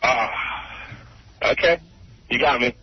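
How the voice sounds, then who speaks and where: A man's voice: medium pitch, slow pace, slightly rough. A man in an unscripted radio argument, defending himself in an aggrieved, apologetic way. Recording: dull, noisy radio recording.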